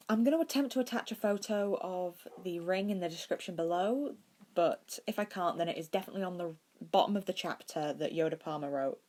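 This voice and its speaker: A woman's voice: lowish voice, darkish tone. A woman narrating fan fiction as a podfic reader.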